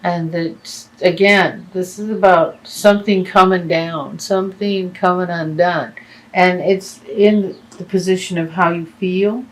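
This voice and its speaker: Tarot reader, woman, slow and halting, voice going a bit hoarse, lowish pitch. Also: steady tone, poor audio. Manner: halting, many fillers and restarts. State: losing her voice. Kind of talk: tarot reading monologue.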